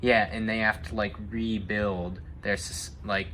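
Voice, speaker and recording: A man's voice: on the low side, level tone, measured pace, sharp tone, slight background noise. A young man chatting casually and giving his opinions, thinking aloud as he goes. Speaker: discussion host. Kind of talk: casual chat about video game movies.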